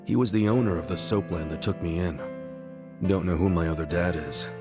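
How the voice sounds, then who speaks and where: An actor voicing a brash, earnest young yakuza underling with rough, slangy speech in an English-dubbed game. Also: male voice, low-pitched, brisk, music in the background, natural voice. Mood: reflective. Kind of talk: English-dubbed game cutscene dialogue and narration.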